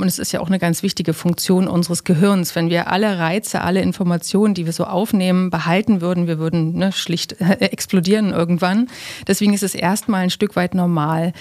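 A woman's voice: lowish voice, a little mellow. A woman speaking as an expert guest in an interview, explaining things through everyday examples.

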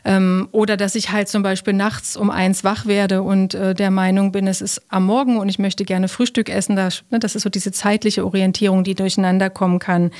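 A woman's voice: medium pitch, flat delivery, fairly quick, hardly any pauses, a little mellow. A woman speaking as an expert guest in an interview, explaining things through everyday examples.

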